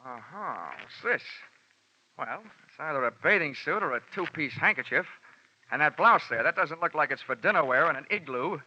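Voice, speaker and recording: A man's voice: fairly high pitch, animated, moderate pace, pushed and bright, no room sound. A man narrating and acting a hard-boiled insurance investigator in a 1950s radio drama, wisecracking and dry. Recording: dull lo-fi old radio recording with music.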